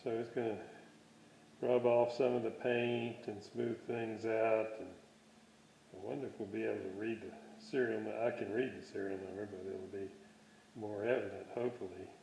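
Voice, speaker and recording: A man giving a casual hands-on workshop demonstration, halting and thinking aloud as he works. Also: on the low side, level tone, vocal fry, a little mellow, a little hiss or hum. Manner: musing aloud, halting, thinking while working. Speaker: hobbyist presenter of a restoration video series. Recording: dull, roomy home workshop recording.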